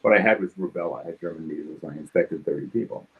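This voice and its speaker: A man in his seventies from New York City with a New York accent, talking casually about his craft in his home studio, quick and succinct, hitting consonants hard. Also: low-pitched, narrow, tinny audio. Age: 70s. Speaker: guest artist. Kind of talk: casual studio chat while drawing.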